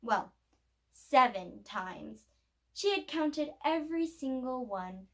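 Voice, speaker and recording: A woman storyteller reads a picture book aloud for young children. Her voice sits high, and she goes slowly. The phrasing is deliberate and expressive, with clear emphasis on key words. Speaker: library storyteller reading aloud.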